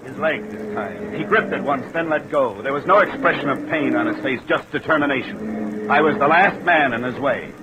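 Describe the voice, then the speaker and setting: An actor playing a tough, weary insurance investigator, narrating tersely in the hard-boiled style of 1950s radio drama. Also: male voice, medium pitch, animated, moderate pace, dull audio, noisy background, close to an everyday voice. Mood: grim, tense. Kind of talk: 1950s radio acting and first-person narration. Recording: old radio drama recording. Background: dramatic music.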